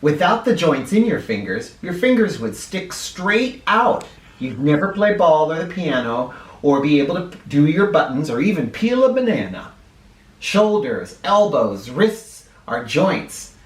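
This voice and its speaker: Animated, higher-pitched man reading to children. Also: measured pace. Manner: expressive, instructive, addressing young listeners directly. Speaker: children's book reader. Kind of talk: animated read-aloud of a children's picture book, with asides. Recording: roomy echo, distant from the microphone.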